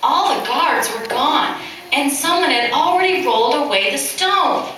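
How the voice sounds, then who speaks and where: A woman playing a female follower of Jesus in an amateur puppet show, telling astonishing news deliberately. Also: high-pitched, animated, a bit bright. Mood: earnest. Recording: very echoey, distant mic, faint noise.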